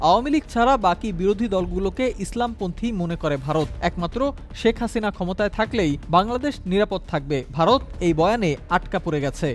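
A man with a Bangladeshi accent narrating a scripted news report in a formal, measured manner. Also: high-pitched. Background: background music.

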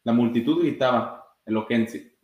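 A man's voice: medium pitch, normal speed, a little mellow. Man reading a storybook in an echoey room. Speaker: soccer goalkeeper reading a children's story. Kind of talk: read-aloud storytime. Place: indoor room with blinds, sitting. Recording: roomy echo.

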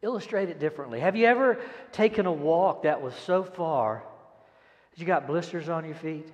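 An older man preaches a sermon and reads scripture with dramatic, emphatic delivery and deliberate pauses. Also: fairly high pitch, animated, lo-fi sound. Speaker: pastor. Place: large church auditorium stage, indoors. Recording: echoey hall, long reverb.

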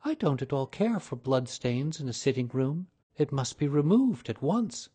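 A man's voice: mid-range voice, very animated, moderate pace, mellow, breathy edge, pitched above the performer's own voice. A man voicing a fussy, easily shocked genteel mother who exclaims sharply.

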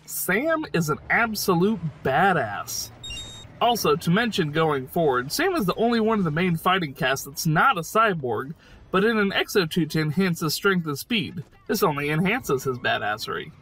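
Bright, higher-voiced man doing game commentary. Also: sing-song, moderate pace, a little hiss or hum. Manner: emphatic, fannish, informal. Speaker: host narrator of a video game praise series. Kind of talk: enthusiastic scripted game commentary.

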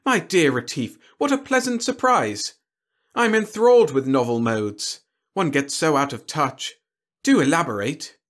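A man's voice: on the high side, very animated, unhurried, fairly bright tone, pitched above the performer's own voice. A man voicing a genteel, pompous alien warlord in an audiobook, with fussy, over-polite, mannered speech. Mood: delighted. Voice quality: scratchy. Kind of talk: audiobook character dialogue.

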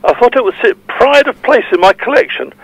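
A man's voice: very high-pitched, expressive, a little fast, no room sound, full character voice, poor audio. A man playing a matey football memorabilia collector calling in to a podcast. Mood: matey, earnest. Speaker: podcast phone-in caller. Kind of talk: conversational audio drama acting.

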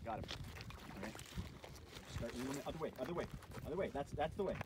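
A man's voice: medium pitch, expressive, quick pace. A middle-aged man, a father casually coaching his children outdoors, encouraging them and repeating short, simple instructions. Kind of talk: casual chat outdoors during a home fishing video. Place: outdoors on a stony lakeshore. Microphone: handheld phone. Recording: noisy outdoor phone video.

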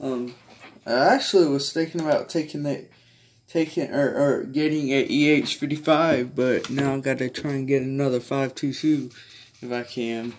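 A man's voice: medium pitch, fairly even intonation, normal speed, a little hiss or hum. A man chatting casually to viewers in a rambling, hesitant way, often trailing off, recorded on a handheld device in a bedroom. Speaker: hobbyist vlogger showing a collection. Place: small carpeted bedroom, indoors. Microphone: handheld phone or camera held by the speaker.

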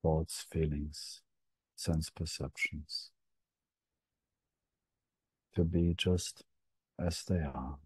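A man leading a guided meditation as a teacher, speaking gently in short phrases with long pauses. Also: a bass voice, fairly even intonation, breathy edge, no room sound.

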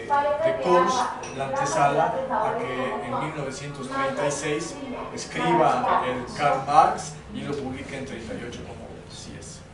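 A middle-aged man with a Mexican Spanish accent speaking emphatically in an academic discussion. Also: on the high side. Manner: emphatic, repetitive phrasing. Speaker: course lecturer. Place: living room with sofas, indoors. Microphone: far camera. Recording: echoey room from far off, background noise.

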